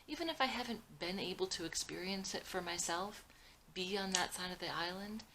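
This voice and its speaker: A woman reads an idealistic young dreamer in a film script, earnest and insistent. The voice sits slightly low but is bright and clear-edged. Faint noise runs behind it. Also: normal speed, poor audio. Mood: earnest, hopeful. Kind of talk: dramatic script reading.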